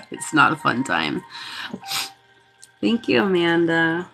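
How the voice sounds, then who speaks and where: Sniffly woman chatting slowly on a livestream. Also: on the low side, wildly swooping pitch, creaky, bright, forceful tone, faint music behind, poor audio. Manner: chatty, thanks viewers by name, laughs often. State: congested from a cold, sniffly. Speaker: reiki healer hosting a livestream. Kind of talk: casual chat greeting live viewers.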